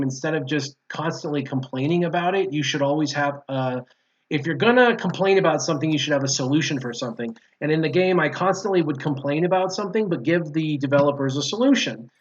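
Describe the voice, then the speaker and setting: A man with a fairly high voice chats informally and argues his view firmly. He repeats key points for emphasis, and the audio is somewhat dull and lo-fi. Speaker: guest, former mobile game player. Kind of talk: casual opinionated gaming discussion.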